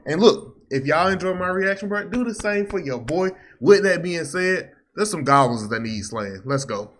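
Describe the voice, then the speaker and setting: A young man giving casual, animated, slangy reaction commentary with teasing asides, close to a desk microphone in a small home room. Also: high voice, moderate pace, a little hiss or hum. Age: young adult. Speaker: reaction video host.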